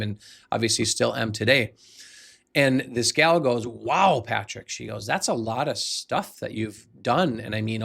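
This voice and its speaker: A man's voice: medium pitch, gravelly, fairly bright tone. An American man in his forties, a business coach telling a personal motivational story in an earnest, emphatic, conversational way.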